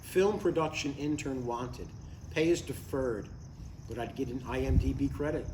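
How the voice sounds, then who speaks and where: A man's voice: mid-range voice, normal speed, lightly acted. A man in his sixties playing an earnest, stubborn father who gets defensive, in a live comedy table read. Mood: upbeat, earnest. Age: 60s. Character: earnest, stubborn sixty-two-year-old father chasing a writing career. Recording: echoey live room, distant mic, faint noise.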